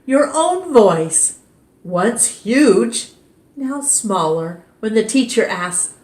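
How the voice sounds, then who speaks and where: A woman reading a picture book aloud at storytime in a gentle, expressive way. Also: on the high side, very slow. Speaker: storytime reader. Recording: roomy echo.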